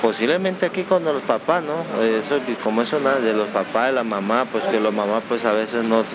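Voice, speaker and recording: A man, answering interview questions informally and haltingly, often repeating and searching for words. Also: medium pitch. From Puerto Alegría, Amazonas. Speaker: interviewee, local villager. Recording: noisy outdoor recording, vehicle and ambient noise.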